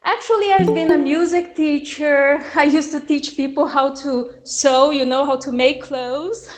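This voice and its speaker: High-voiced woman hosting a video call. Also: steady tone, a little slow. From Brazil. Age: 40s. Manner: encouraging, often checks in with "yeah?". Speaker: host and English teacher. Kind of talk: casual chat on a video call.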